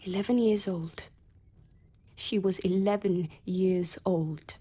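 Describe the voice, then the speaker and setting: A bitter young gentlewoman ruined by love, with a lowish, husky and mellow voice. She is sardonic and intense in the manner of radio drama, haughty on the surface and wounded underneath. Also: steady tone, slow pace. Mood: bitter, challenging. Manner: sardonic, intense, swinging into hushed whispers.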